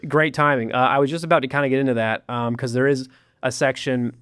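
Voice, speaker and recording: A man recounting a story in casual podcast talk, animated, with sarcastic asides. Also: mid-range voice, fast, clean tone, dry recording, studio quality. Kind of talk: casual chat.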